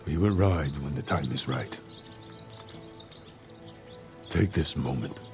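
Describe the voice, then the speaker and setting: An actor playing a stern older samurai lord in a video game, gravelly, measured and authoritative. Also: male voice, very deep voice. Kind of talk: cinematic video game dialogue. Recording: music under the dialogue.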